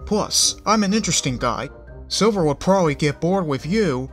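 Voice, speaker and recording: A man's voice: fairly high pitch, expressive, normal speed, soft background music, pitched above the performer's own voice, natural voice. A man voicing a smug, defiant young male earth pony in a fan story reading.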